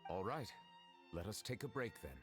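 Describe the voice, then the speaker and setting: An actor playing a calm, formal male chief justice who speaks politely and with measured care. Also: on the low side, music in the background, lightly acted.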